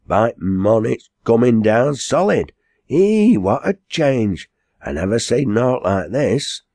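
An excitable country farmhand from a storybook , as a male reader plays him, exclaims in broad Lancashire dialect. His pitch jumps well up and his voice has a husky edge. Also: slow pace, no echo, clean studio sound, heavily acted. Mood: amazed.

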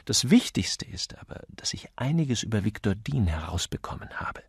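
Man voicing a wry, gravelly gentleman detective. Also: lowish voice, sing-song, moderate pace, dry recording, whispered, studio quality, full character voice. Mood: wry, breezy. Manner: wry, urbane, chatty. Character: witty, flippant upper-class gentleman amateur detective.

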